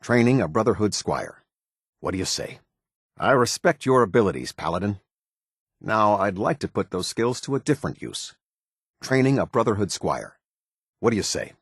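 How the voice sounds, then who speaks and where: An American actor playing a stern, demanding middle-aged military officer in a video game, with clipped, formal authority and a husky voice. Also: male voice, fairly low pitch, sing-song, normal speed, pauses often, no room sound, clean studio sound, heavily acted. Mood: formal, approving. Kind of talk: scripted game dialogue, military commander.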